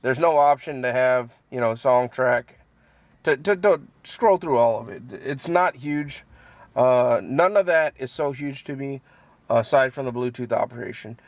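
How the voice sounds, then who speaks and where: A man giving a casual, unscripted product review, halting as he thinks aloud and repeats words, in a matter-of-fact, mildly critical way. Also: mid-range voice, no room sound. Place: inside a truck cab.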